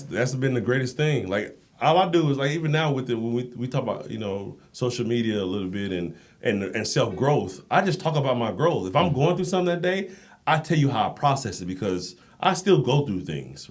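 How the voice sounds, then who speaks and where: A man's voice: medium pitch, brisk. An American man talking casually as a podcast guest, telling anecdotes and acting out the dialogue in them. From United States. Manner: animated storyteller, acts out quoted dialogue. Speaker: podcast guest, endurance coach and Marine. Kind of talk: casual, anecdotal interview talk.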